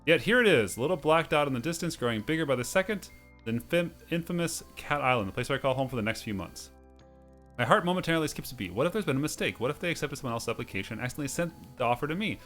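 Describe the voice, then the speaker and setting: Male let's play host, middle pitch, reads game text aloud with the odd stumble, music behind. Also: lively intonation, very fast, a bit bright. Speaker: host of a video game playthrough series.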